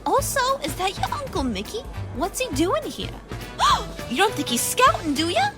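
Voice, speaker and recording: A woman's voice: very high voice, wildly swooping pitch, normal speed, heavily acted. A woman voicing a sweet, glamorous, gossipy cartoon bar hostess in a theatrical, affectionate way. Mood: excited gossip. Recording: loud music behind the voice.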